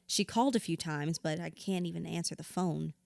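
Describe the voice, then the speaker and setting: Audio drama: troubled female therapy patient, lowish pitch, plain-spoken and guarded, very dry recording. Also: a little fast, creaky, a little mellow, no room sound. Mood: subdued, confused. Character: troubled woman in therapy, recovering drinker, guarded and blunt. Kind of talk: naturalistic audio drama acting.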